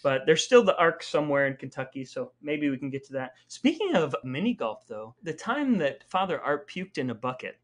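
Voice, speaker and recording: A man's voice: mid-range voice, fairly bright tone. A man co-hosting a casual podcast with his brother, telling stories wryly and reading the outro. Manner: wry, playful storytelling, reads outros. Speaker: podcast co-host. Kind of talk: casual chat with scripted segments.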